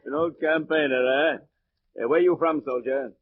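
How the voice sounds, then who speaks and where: An actor playing a gruff military patrol leader in a 1940s radio drama, with a commanding, barking manner. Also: male voice, a little high, normal speed, slight background noise, natural voice. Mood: amused, probing. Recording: tinny, band-limited old radio.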